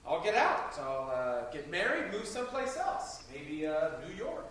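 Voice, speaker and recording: A man acting in a stage play as an earnest, frustrated adult son, halting as he pleads with his parents. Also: fairly high pitch, huge pitch swings, fairly bright tone, distant mic, exaggerated voice. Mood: pleading, defiant. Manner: halting, hesitant. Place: theater stage at a college, filmed from the audience. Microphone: far camera. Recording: echoey stage recorded from afar, faint noise.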